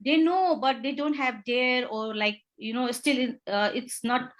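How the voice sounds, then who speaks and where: A young woman from Nepal, a human rights lawyer, answering interview questions haltingly, with many fillers and restarts. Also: sits high.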